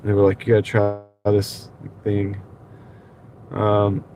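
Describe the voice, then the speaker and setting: A man with a congested, slightly rough voice, chatting casually with his viewers during a livestream and chuckling as he reads their comments. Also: lowish voice, monotone, slow. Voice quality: nasal from congestion. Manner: rambling, reads chat aloud, chuckles often. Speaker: livestream host. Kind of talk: casual live stream chat with viewers. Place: in his car at night. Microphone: wireless clip-on mic.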